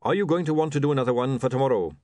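A man voicing a blunt, glum dwarf printer who talks fast and matter-of-fact. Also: mid-range voice, warm tone, no echo, lightly acted. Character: gruff practical dwarf printer, male.

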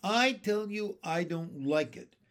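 A man's voice: high voice, sing-song, takes it slowly, creaky, a little mellow, heavily acted. A man voicing an astonished, blustering ship captain in a storybook, exclaiming and stammering in alarm. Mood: uneasy.

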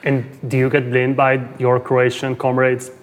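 A man's voice: medium pitch, monotone, moderate pace, big-room sound. A man interviewing a guest, pushing with challenging follow-up questions.

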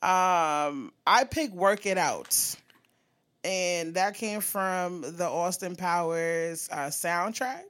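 A woman's voice: lowish voice, takes it slowly, fairly bright tone. A woman co-hosting a podcast, chatting casually and animatedly about music, swearing freely and breaking into song. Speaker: podcast co-host.

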